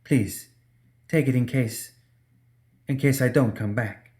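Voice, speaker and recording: A man's voice: medium pitch, slow, a little mellow, natural voice. A man voicing a young woman fighter, tender but proud, in an audiobook story. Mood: pleading, tender.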